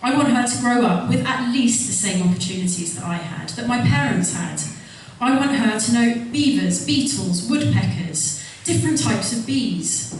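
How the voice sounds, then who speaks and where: A woman's voice: fairly high pitch, lively intonation. Earnest woman speaking in echoey hall. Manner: earnest, listing points in measured rhythm. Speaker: election candidate. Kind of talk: prepared campaign speech. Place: public hustings hall. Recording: echoey hall from far off with faint noise.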